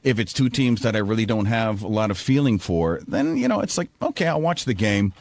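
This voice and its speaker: A man chatting casually as a radio show regular, halting and circling back to rephrase himself. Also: fairly low pitch, lively intonation, a little mellow, no echo. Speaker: radio show cast member.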